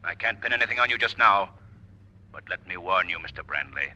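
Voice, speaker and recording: An actor playing an invisible male avenger who speaks in a commanding, taunting, softly menacing way, in 1940s radio style. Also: sits low, animated, fairly quick, exaggerated voice, low-quality recording.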